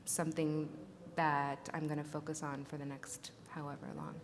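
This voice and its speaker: A woman artist giving a grateful, halting panel talk about becoming a mother. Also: sits low, level tone. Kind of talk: conference talk. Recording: reverberant hall with faint noise.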